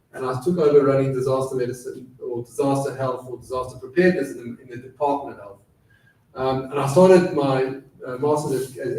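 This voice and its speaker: A man's voice: mid-range voice, darkish tone. A middle-aged man with a South African accent shaped by years in Britain, giving a chatty, self-deprecating lecture full of fillers in a college lecture room. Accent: South African with British influence. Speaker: guest speaker. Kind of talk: lecture presentation. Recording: echoey room, voice far from mic.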